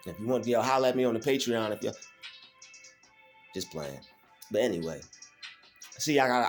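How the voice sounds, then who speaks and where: A man hosting his own faith vlog alone at home, talking casually and emphatically, repeating phrases and using many fillers. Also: fairly low pitch. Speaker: channel host.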